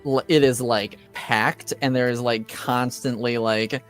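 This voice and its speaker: Slow-talking man as game master, clear voice. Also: mid-range voice, steady tone, faint music behind. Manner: halting, hesitant, searching for words. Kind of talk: improvised narration of a tabletop roleplaying game.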